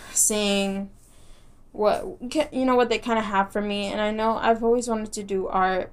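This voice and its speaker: A young woman with a light Texas accent, rambling through a casual solo podcast with frequent giggles, fillers and restarts. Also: medium pitch. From Texas, United States. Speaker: podcast host.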